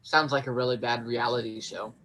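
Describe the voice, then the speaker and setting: A woman's voice: very deep voice, steady tone, a little fast, fairly bright tone. A young woman playing a wry, sceptical fairytale character in a comic stage play. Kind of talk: comic stage acting over video call.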